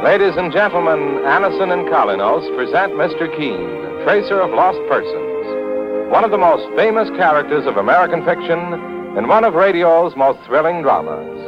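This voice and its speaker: A man's voice: on the high side, wildly swooping pitch, moderate pace. Theatrical vintage radio announcer, man, swooping delivery. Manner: theatrical, emphatic. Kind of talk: 1940s radio announcing, dramatic scripted narration. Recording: dull vintage radio recording, loud music.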